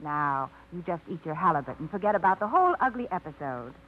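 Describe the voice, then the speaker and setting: A woman's voice: on the low side, big pitch swings, quick pace, a little hiss or hum. An actress playing a wry, independent young woman tired of routine, with a dry wit, in a 1950s radio drama. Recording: tinny old radio broadcast.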